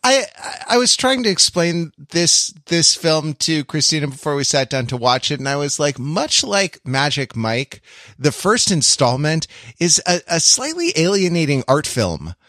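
Wry man, halting, dry close sound. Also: a little high, very animated, a bit bright, no room sound. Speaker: podcast host. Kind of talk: casual movie discussion.

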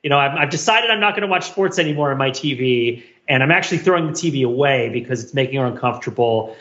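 A man's voice: medium pitch, animated, fast talker, fairly bright tone, roomy sound, dull audio. A man talking casually and jokily on a podcast, rambling along and often breaking into amusement as a co-host. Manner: rambling, jokey, frequent laughing. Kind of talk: casual comedic podcast discussion.

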